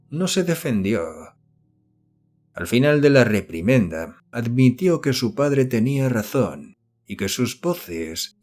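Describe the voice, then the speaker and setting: A man narrates a horror audiobook in a mid-pitched voice. He reads scripted literary prose as a storyteller, in the measured form of a novel read aloud. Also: darkish tone.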